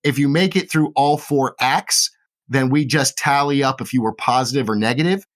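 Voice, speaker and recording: A man acting as game master, explaining game rules quickly and plainly. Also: medium pitch.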